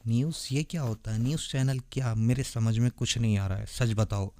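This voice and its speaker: A man's voice: medium pitch, fairly even intonation, normal speed, mellow, dry recording, close to an everyday voice. A man playing a naive, intense and protective young vampire boyfriend in a romantic ASMR audio drama, earnest and dramatic. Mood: bewildered, agitated. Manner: earnest, dramatic, puzzled questioning.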